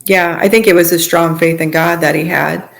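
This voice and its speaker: A woman speaking as an interview guest, giving casual personal testimony in halting, trailing phrases. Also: on the low side, fairly even intonation, creaky. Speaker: podcast guest sharing testimony.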